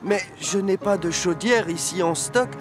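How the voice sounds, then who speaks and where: A man's voice: sits high, unhurried. An actor voicing a friendly, teasing male engine who works at a scrapyard in a cartoon. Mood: amused. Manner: teasing, amused. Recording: cartoon soundtrack with music behind.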